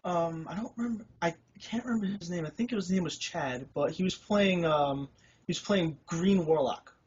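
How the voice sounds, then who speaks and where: Male guest, casual and hesitant, lots of ums, a slightly higher voice on dull, lo-fi audio. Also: normal speed, slight background noise. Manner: modest and hesitant, with frequent fillers and laughter. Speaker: tournament winner being interviewed. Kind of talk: casual interview. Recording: lo-fi recording.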